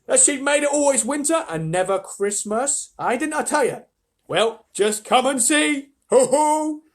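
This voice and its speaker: A man voicing an excited male talking beaver in a storybook reading, shouting high and loud with glee. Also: a little slow.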